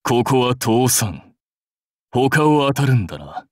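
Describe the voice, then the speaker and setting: An actor playing a proud, brusque warlord for a mobile game, curt, commanding and self-assured in manner. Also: male voice, mid-range voice, sing-song, takes it slowly, a little mellow, no room sound, studio quality. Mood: menacing, commanding. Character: proud, brusque warlord rider devoted to his clan. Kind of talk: Japanese mobile game character lines.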